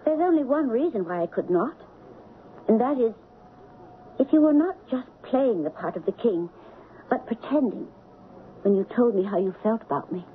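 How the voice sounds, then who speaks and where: An actress playing a devoted, anxious young princess in a radio melodrama, with intense, formal, pleading delivery. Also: female voice, a little high, expressive, normal speed, long pauses, slight background noise, natural voice. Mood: pleading, loving. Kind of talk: melodramatic radio drama acting. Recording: muffled vintage radio broadcast, music behind.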